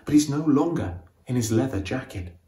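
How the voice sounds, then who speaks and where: A man reading a novel aloud as its author, with expressive, suspenseful storytelling in a dramatic audiobook style. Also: mid-range voice, moderate pace, reverberant.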